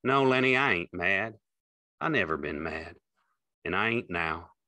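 A man's voice: deep voice, lively intonation, a little slow, sharp tone, no echo, full character voice. A man playing a weary, protective, tender working man in an audiobook, speaking in a plain, folksy way. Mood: reassuring, tender. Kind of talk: audiobook character acting.

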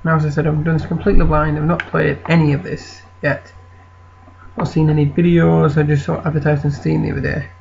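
A man from Britain with a croaky voice from an infection, hosting a let's play in casual, rambling commentary. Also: on the high side, little pitch movement, brisk, darkish tone, highs cut off, slight background noise. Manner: rambling, self-correcting.

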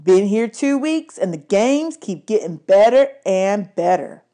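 A woman's voice: mid-range voice, animated, a little slow, warm tone, lightly acted. Lady playing a chill seventies teen boy in a storybook, offhand and slangy. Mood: laid-back. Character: laid-back thirteen-year-old disco-era boy, vague and dismissive.

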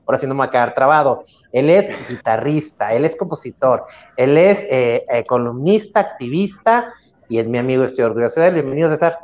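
A man's voice: medium pitch, animated, brisk, a little mellow. Middle-aged Mexican man, dull lo-fi sound. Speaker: talk show co-host. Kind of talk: live interview introduction. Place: indoor room at home, video call. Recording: dull lo-fi video call audio.

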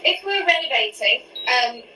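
A woman's voice: sits high, animated, normal speed, sharp tone, a little hiss or hum, rough recording. A woman, hosting a live-stream cooking session from her home kitchen and asking her guest lots of questions while she cooks. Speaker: host. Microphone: phone camera at arm's length.

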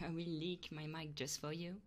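A woman live streamer chatting casually and playfully in a soft, breathy ASMR style, often whispering, close to the microphone. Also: deep voice, level tone, moderate pace. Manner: casual and playful, swearing freely.

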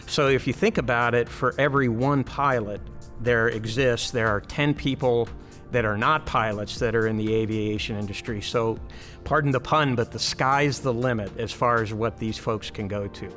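A man's voice: mid-range voice, normal speed, a bit bright. A man in his fifties, an aviation program director giving a promotional interview. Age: 50s. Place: large aircraft hangar. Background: music.

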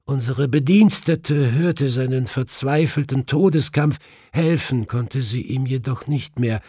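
A man's voice: mid-range voice, dark tone, slightly rough, no room sound, clean studio sound, pitched above the performer's own voice. A man voicing a grieving middle-aged widow with a brittle, tearful voice in an audiobook. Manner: halting, sobbing.

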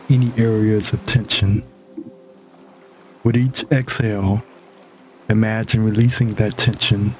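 A man narrating a scripted guided meditation in a measured, instructional way with long pauses between phrases. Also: lowish voice, darkish tone, slight background noise.

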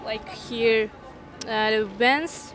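A young woman with a high voice answers a street interview slowly and hesitantly. She speaks softly into a handheld mic outdoors. Music plays under her voice. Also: steady tone. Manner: hesitant, soft-spoken.

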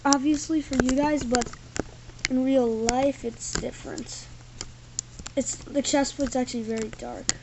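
A woman with a high voice chats her way through a toy review, loose and rambling. She is recorded on a tripod camera in a carpeted room indoors, with a faint hum of room noise underneath. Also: fairly even intonation, a little slow. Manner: rambling, loose, full of "like" and "thing". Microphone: camera on a tripod, built-in mic.

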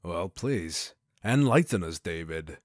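A commanding post-apocalyptic gang boss acted by a man, low and dry-sounding. He speaks with formal, measured theatricality, his pitch swinging widely, in the style of an audio drama. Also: expressive, no room sound, exaggerated voice. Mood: smugly pleased.

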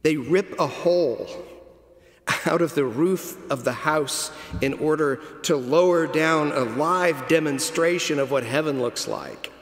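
A middle-aged man preaching a sermon from a pulpit, telling the story slowly and deliberately with dramatic pauses. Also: fairly high pitch. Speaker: cathedral dean preaching. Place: large stone cathedral, at a pulpit. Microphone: pulpit microphone. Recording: long cathedral reverb.

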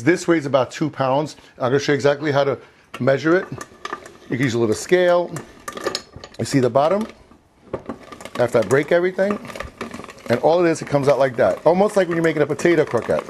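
A man's voice: on the high side, noisy background. A man in his fifties hosting an informal cooking demonstration in a home kitchen, chatty and often repeating and rephrasing himself, on a lapel mic. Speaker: cooking show host.

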